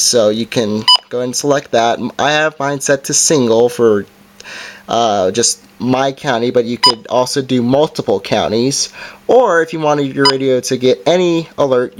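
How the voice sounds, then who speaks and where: A man giving a casual, halting hands-on gadget demonstration in an unscripted way. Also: mid-range voice. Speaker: product reviewer. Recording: noisy amateur home recording, clicks.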